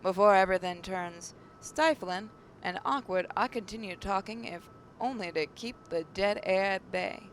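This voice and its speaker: A faint rumbling noise sits behind a woman with a mid-pitched voice. She reads visual novel narration aloud as a gaming host, now and then stumbling over a word. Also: normal speed, hardly any pauses.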